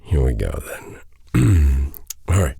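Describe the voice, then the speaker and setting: A man with a very deep voice telling a bedtime story in a hushed, intimate, unhurried, soothing way. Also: sing-song, vocal fry, husky, no echo, clean studio sound. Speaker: audio roleplay performer reading a bedtime tale.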